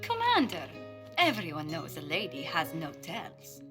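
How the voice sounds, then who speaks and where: Posh polite lady diplomat in a fantasy game, coy, takes her time, swoopy pitch, music behind. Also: huge pitch swings, a little slow, exaggerated voice. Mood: smugly triumphant. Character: genteel, playful lady ambassador and card player. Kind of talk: video game cutscene acting.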